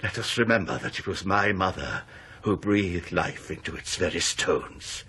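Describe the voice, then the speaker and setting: An actor playing an embittered, haughty and eccentric middle-aged country gentleman in a British radio drama, with a precise, declamatory delivery. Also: low voice, moderate pace, husky, full character voice. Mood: solemn, formal.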